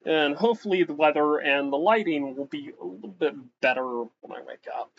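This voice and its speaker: A man's voice: on the high side, lively intonation, a little fast. A man giving rambling, casual commentary while playing a game, often tacking on "right?" and restarting his sentences. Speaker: let's play host. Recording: muffled with faint background hiss.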